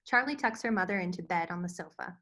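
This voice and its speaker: A woman's voice: fairly low pitch, fast talker, pushed and bright. A woman reading scripted stage directions as the narrator of a screenplay table read. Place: virtual table read over video call.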